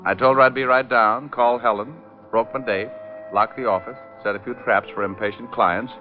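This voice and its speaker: An actor playing a glib, flirtatious private detective who narrates his case with deadpan quips in vintage radio style. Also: mid-range voice, normal speed, natural voice. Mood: wry narration. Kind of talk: 1940s-50s radio detective acting, hardboiled narration. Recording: muffled old-time radio recording with music.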